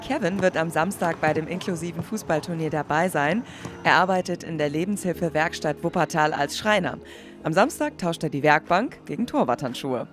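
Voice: medium pitch, lively intonation, fairly quick, a bit bright. A news voice-over narrator reading a scripted report. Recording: music bed behind voice.

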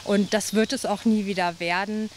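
A woman giving calm, measured interview answers with some hesitant fillers. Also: mid-range voice. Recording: hissy recording.

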